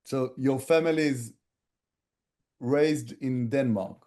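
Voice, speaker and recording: A man's voice: mid-range voice, slow, mellow. A man asking hesitant, stammering questions on a video call. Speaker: meeting participant.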